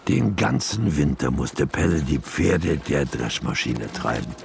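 A man serves as the narrator of a literary radio drama. His voice is extremely deep and gravelly, with animated rises and falls over prominent music. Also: unhurried.

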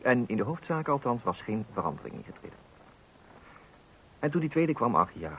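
A man's voice: mid-range voice, big pitch swings, a little fast, fairly bright tone, poor audio. A man playing a wry fatherly narrator in a radio play, addressing men with pompous mock solemnity on a hissy old recording. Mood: wry reminiscing.

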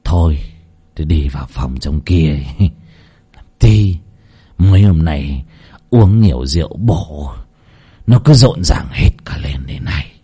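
A man voicing a hot-tempered older male head of a household who scolds gruffly. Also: extremely low pitch, expressive, measured pace, pauses often, mellow, pitched below the performer's own voice, full character voice. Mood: coaxing lewd.

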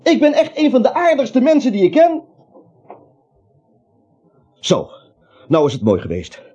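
A man's voice: very high voice, wildly swooping pitch, a little slow, warm tone, breathy edge, exaggerated voice. An actor playing a nervous, naive little everyman who rambles, hesitates and justifies himself in a comic radio play. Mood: apologetic, grumbling. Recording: muffled old radio play, music behind.

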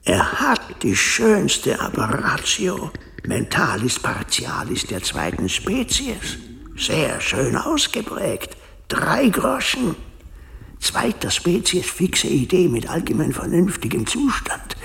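An actor playing a pompous, coldly gleeful doctor in a radio play, husky and declamatory, with clinical Latin-laden talk. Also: male voice, a little high, wildly swooping pitch, takes it slowly, fairly bright tone, slight background noise, exaggerated voice. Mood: smug fascination. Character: pompous, gleefully cold male doctor obsessed with his theories. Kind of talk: German-language radio play acting.

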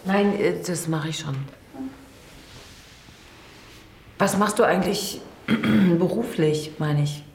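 A woman's voice: on the low side, a little slow, a little mellow, roomy sound. An actress playing a troubled woman writer in a radio drama, articulate, guarded and disillusioned about love. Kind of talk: naturalistic radio drama acting.

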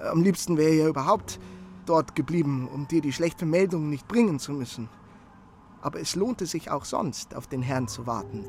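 A man's voice: fairly high pitch, slight background noise. An actor playing an anxious young male messenger in a radio play, stammering as he hurries through his report.

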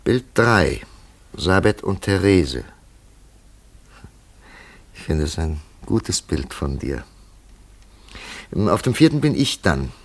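An actor playing a thoughtful investigator in a radio drama, calm and deliberate as he ponders a mystery. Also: low voice, full character voice. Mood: brisk, methodical. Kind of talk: scripted radio drama acting.

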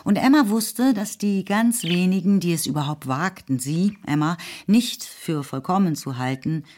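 A woman's voice: on the low side, lively intonation, moderate pace, darkish tone. A woman narrating a radio drama. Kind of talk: scripted narration.

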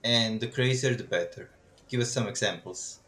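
Man hosting with deadpan jokes. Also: medium pitch, moderate pace. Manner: dry, deadpan joking. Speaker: podcast host. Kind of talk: casual podcast chat.

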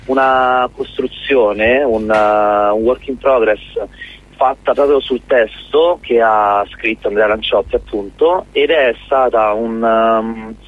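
A man's voice: medium pitch, slow. Man on a tinny phone line. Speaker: interview guest, theatre show collaborator. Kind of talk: explanatory live interview talk. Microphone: phone call.

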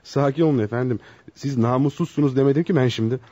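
An actor playing a polite, bemused young bachelor psychologist in a radio play, courteous and questioning, easily flustered. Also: male voice, mid-range voice, quick pace, dark tone, natural voice. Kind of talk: radio theatre acting. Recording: dull, roomy lo-fi radio drama.

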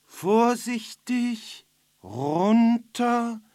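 An actress playing a small, excitable, courteous beetle in a children's radio play, flustered and exclamatory. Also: female voice, a little high, drawn-out words, no echo, clean studio sound, heavily acted. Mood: chanting, instructing. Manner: flustered, stammering at times, exclamatory. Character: excitable, courteous little cockchafer with a missing leg, fiddler.

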